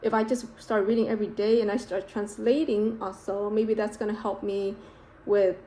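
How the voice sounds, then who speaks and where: A woman's voice: mid-range voice, little pitch movement, moderate pace, dark tone, low-quality recording. A woman talking to her camera in a casual vlog, rambling and self-reflective with many fillers and restarts, a native Vietnamese speaker. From United States, California. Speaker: vlogger.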